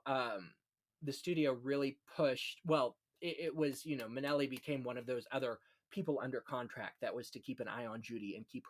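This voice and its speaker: A man hosting a casual film history chat, telling anecdotes in a gossipy, conversational way. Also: mid-range voice. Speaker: podcast host.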